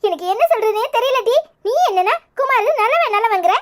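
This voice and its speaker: A woman's voice: very high-pitched, expressive, brisk. A woman playing an aggrieved, stubborn older village mother in a melodrama, complaining insistently in a southern Tamil Nadu accent. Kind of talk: melodramatic village serial acting.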